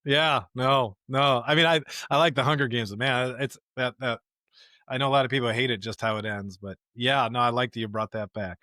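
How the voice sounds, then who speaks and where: A man's voice: medium pitch, fairly even intonation, a little fast, pushed and bright, dry recording. Man co-hosting a conversational podcast, dry sound. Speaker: podcast host and pastor. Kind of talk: conversational podcast discussion with scripture reading.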